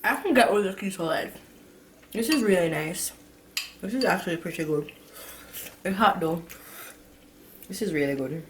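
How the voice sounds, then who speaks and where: A woman's voice: on the low side, expressive, normal speed, long pauses, slight background noise. A woman taste-testing food and speaking candidly in casual chat, often trailing off and restarting. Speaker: taste tester.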